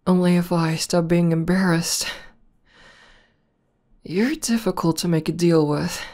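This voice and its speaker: A woman playing an affectionate, bashful tomboy demoness warrior in an intimate ASMR roleplay, talking softly and tenderly with some hesitation. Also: fairly low pitch, fairly even intonation, measured pace, natural voice. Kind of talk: ASMR roleplay, intimate one-sided conversation.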